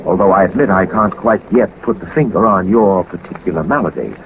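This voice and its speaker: An actor playing a suave, methodical gentleman detective in 1940s radio drama style, urbane and theatrical, with wordy, dry self-possession. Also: sits low, a little fast. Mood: dryly amused. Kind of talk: 1940s radio drama acting, first-person narration. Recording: muffled, noisy old 1940s radio recording.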